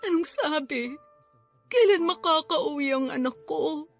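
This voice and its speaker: A woman's voice: high-pitched, unhurried, soft background music. An actress playing a tearful, grieving elderly grandmother in a Filipino-accented Tagalog radio drama. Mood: anxious. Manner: tearful, emotional delivery.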